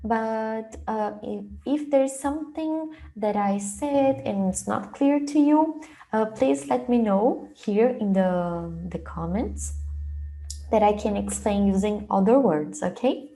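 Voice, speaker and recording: A young adult woman from Brazil, a native Portuguese speaker with a Brazilian accent, presenting an informal online webinar with hesitant, halting phrasing. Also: on the high side, mellow. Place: small indoor room, video call.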